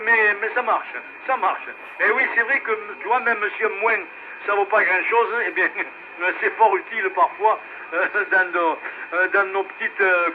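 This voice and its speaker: A man running an amateur radio net in a casual, halting way, heard over a two-way radio. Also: high voice. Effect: two-way radio transmission. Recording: noisy narrowband ham radio relay.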